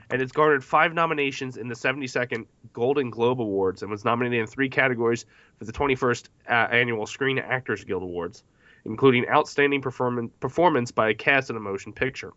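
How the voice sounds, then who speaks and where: Bright-voiced man hosting a podcast. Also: medium pitch, fairly quick, dry recording, muffled recording. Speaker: podcast host. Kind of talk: podcast movie discussion, reading facts from notes.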